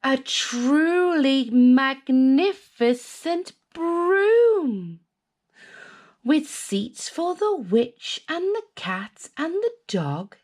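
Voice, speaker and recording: A woman's voice: high-pitched, a little mellow. A woman with a British accent reading a children's story expressively, dramatically drawing out words. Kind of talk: expressive scripted storybook narration.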